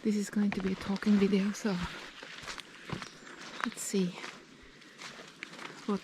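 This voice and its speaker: Slow-talking Norwegian woman with a lisp. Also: mid-range voice, little pitch movement, background noise. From Norway. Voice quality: slight lisp. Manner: chatty, often drops to a soft whisper. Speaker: nature vlogger. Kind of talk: casual talk while hiking. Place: outdoors in a forest on a steep hill.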